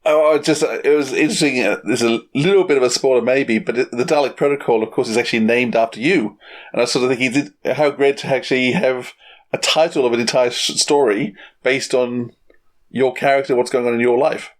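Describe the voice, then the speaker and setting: A man's voice: medium pitch, a little fast. A man hosting a podcast interview, asking questions in a conversational way.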